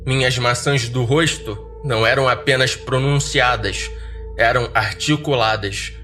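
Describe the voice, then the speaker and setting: A Brazilian man narrates horror fiction in the first person as a podcast storyteller. His pitch sits in the upper-middle male range and his delivery is dry and measured, with clear music underneath. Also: sharp tone.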